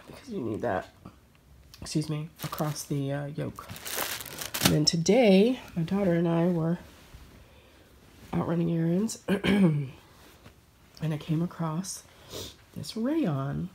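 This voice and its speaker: A middle-aged woman chatting casually about her sewing plans, relaxed and pausing with fillers. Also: low voice, a little hiss or hum. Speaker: sewing vlogger.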